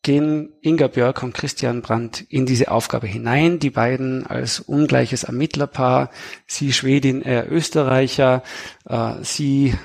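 A man speaks as a guest author in an interview. His voice sits at a middle pitch and has a creaky, gravelly edge. He talks in a conversational way. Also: fairly even intonation, a little slow. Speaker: thriller author, podcast guest.

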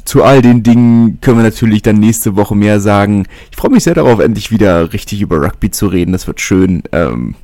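A man's voice: fairly low pitch, animated, brisk, hardly any pauses, warm tone, dry recording. A man hosting a solo podcast, talking casually and thinking aloud with frequent hesitations and fillers. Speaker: podcast host.

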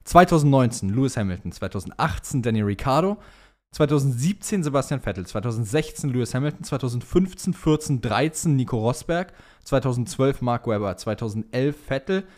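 A man's voice: medium pitch, lively intonation, fairly quick. A young man co-hosting a podcast, chatting casually in slangy, teasing banter. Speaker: podcast co-host.

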